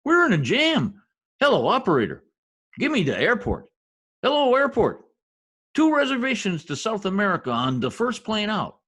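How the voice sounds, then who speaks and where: A man acting a blustery middle-aged husband from an old-time radio comedy. His voice is husky and rather high, swinging widely as he exclaims in folksy slang. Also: huge pitch swings, normal speed, fairly bright tone, full character voice. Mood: panicked.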